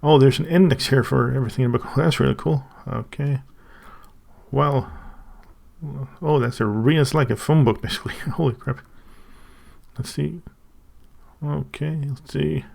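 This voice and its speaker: Swedish guy unboxing stuff, super gravelly voice, lots of stops and restarts. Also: mid-range voice, darkish tone. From Sweden. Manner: halting, thinking aloud with many restarts. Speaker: channel host. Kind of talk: casual unboxing review.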